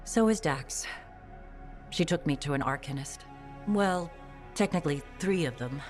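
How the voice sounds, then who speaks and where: An actress playing a gentle, confused woman in video game dialogue who speaks hesitantly about uncertain memories. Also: deep voice, faint music behind. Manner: hesitant, halting, soft.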